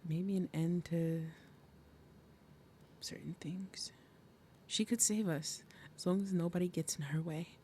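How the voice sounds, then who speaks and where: A woman playing a fierce, protective demon mother and princess of wrath in an ASMR roleplay, with dramatic, breathy, menacing delivery. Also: fairly low pitch, a little slow, long pauses, warm tone, a little hiss or hum, lightly acted. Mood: solemn, guarded.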